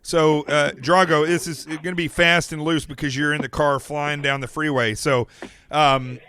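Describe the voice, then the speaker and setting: A man with an American accent hosting a podcast, talking in a casual, rambling way with restarts and quick asides. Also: a little high, level tone, sharp tone, a little hiss or hum. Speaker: podcast host.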